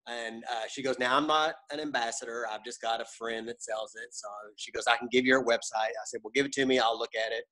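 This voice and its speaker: A man's voice: mid-range voice, steady tone, quick pace, few pauses, pushed and bright, poor audio. A man with a Texan accent telling his story on a video call from home, folksy and rambling with lots of hesitations. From Texas. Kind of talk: casual self-introduction. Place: home room with a lamp.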